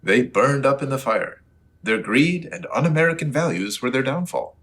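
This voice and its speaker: An earnest superhero played by a man with old-fashioned exclamations and a declamatory delivery. His mid-pitched voice swings widely, as in a comic radio serial. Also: expressive, moderate pace, a bit bright, close to an everyday voice.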